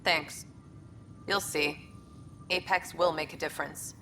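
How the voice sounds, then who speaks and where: A woman playing a brisk, determined military lieutenant in a video game. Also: sits low, steady tone, background noise. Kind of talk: video game dialogue acting. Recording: faint background ambience.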